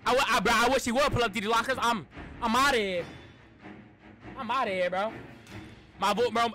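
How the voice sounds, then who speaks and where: High-voiced young man with a strained voice. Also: fairly quick, bright, forceful tone, faint music behind. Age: young adult. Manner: slangy, repetitive, exasperated pleading. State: strained voice, losing it, frequent throat clearing. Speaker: live game streamer. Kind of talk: casual live horror-game stream, reacting and talking to chat.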